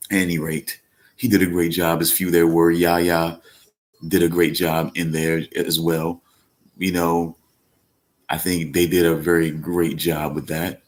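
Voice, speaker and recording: Male podcast host, very low voice, loose rambling delivery, casual live-show chat. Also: darkish tone. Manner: rambling, with many fillers and restarts; speaks up when emphatic. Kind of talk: casual live-stream talk.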